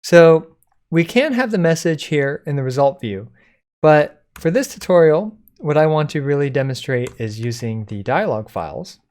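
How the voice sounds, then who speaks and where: Man casually walking through a software tutorial. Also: fairly high pitch, expressive, normal speed. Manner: informal, explaining step by step. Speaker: tutorial presenter. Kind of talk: casual code-along software tutorial narration. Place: indoors at a computer, screen recording.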